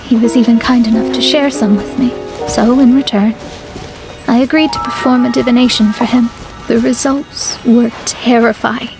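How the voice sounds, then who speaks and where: A woman performs a proud young astrologer from a video game. Her voice is high and she speaks formally and earnestly, with lofty self-assurance. Music plays clearly behind her. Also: steady tone, moderate pace, close to an everyday voice, rough recording. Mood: solemn.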